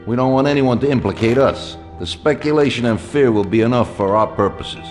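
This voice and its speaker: A man's voice: medium pitch, fairly quick, lightly acted. Ruthless calculating Mafia boss played by a man. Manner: commanding, calculating. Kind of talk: video game cutscene acting. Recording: music behind the dialogue.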